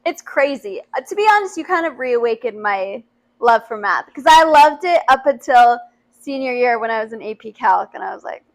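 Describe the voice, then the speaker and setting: A young woman who works as a youth minister, talking earnestly on a podcast in a high voice. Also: expressive, moderate pace, low-quality recording. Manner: earnest, frequent "to be honest" and "like". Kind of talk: casual chat.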